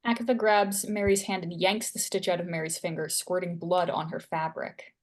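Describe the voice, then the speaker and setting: A woman's voice: lowish voice, little pitch movement, normal speed. A woman narrating stage directions as scripted narration at a screenplay table read.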